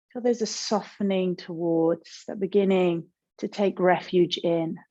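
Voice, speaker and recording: A woman leads a guided meditation in a calm, gentle manner. Her mid-range voice moves without hurry, pausing between short instructions. The recording is a little dull and lacks crispness. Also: medium pitch. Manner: gentle, calm, unhurried with pauses. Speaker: yoga and meditation teacher.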